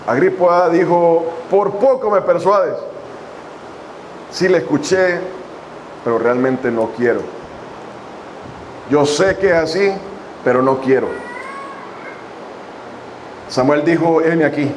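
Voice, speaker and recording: High-voiced man preaching a sermon. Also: a little hiss or hum, rough recording. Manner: preaching with long pauses, reading scripture slowly. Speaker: pastor. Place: church hall.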